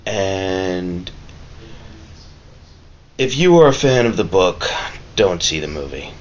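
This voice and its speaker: A man talking casually and haltingly in a laid-back, rambling vlog review. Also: deep voice, very animated. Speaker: vlogger reviewing a film. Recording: dull lo-fi mic with clear background noise.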